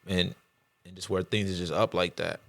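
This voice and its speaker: A man's voice: low-pitched, fairly even intonation, normal speed, creaky, dry recording. A man co-hosting a podcast, asking questions in a laid-back, slangy way, often tacking on "you know what I mean". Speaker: podcast co-host. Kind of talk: casual podcast interviewing. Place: podcast studio.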